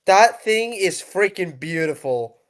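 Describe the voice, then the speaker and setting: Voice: mid-range voice, animated, takes it slowly. A speaker chatting casually about cars with slangy, exclamatory reactions. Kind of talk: casual car chat. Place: remote voice chat.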